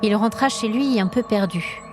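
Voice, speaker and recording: A woman storyteller reading scripted dramatic narration in an expressive, suspenseful manner. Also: mid-range voice, normal speed, music in the background.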